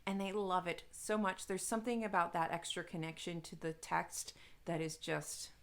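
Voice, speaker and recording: A woman's voice: lowish voice, flat delivery, normal speed. A woman chatting casually to viewers as a book reviewer, informal, gushing and emphatic, with some self-deprecation. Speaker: book reviewer, video host.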